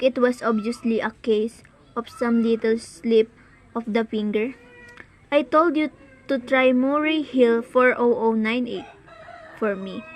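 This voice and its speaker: A woman playing an anxious, sickly, demanding wife in an amateur radio play, fretful, halting and insistent. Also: fairly high pitch, lightly acted, rough recording.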